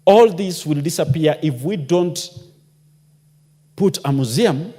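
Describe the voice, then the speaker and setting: A man's voice: fairly high pitch, expressive, slow pace, mellow, husky. A man giving an impassioned parliamentary speech, firing off rhetorical questions as a member of parliament. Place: parliamentary chamber. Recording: long reverb of a large chamber.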